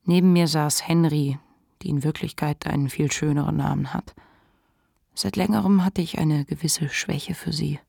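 An actor playing a reflective, ashamed narrator confiding a memoir in a measured literary radio monologue. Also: mid-range voice, steady tone, creaky, warm tone, husky, no echo, clean studio sound. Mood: reflective, confessional.

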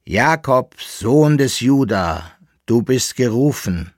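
A man's voice: mid-range voice, expressive, takes it slowly, no echo, studio quality, pitched above the performer's own voice. A man voicing a solemn otherworldly summoner who proclaims ceremoniously in an audiobook story.

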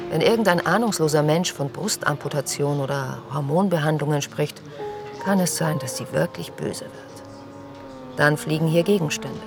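An actress playing a wry youth worker, narrating in the first person in a dry, deadpan radio drama style. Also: female voice, low voice, vocal fry.

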